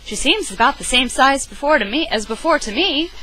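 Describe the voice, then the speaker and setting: A woman's voice: sits high, animated, brisk, pushed and bright, faint music behind, pitched above the performer's own voice, poor audio. A woman voicing a mild, doubting storybook wife and mother who contradicts in a reasonable way.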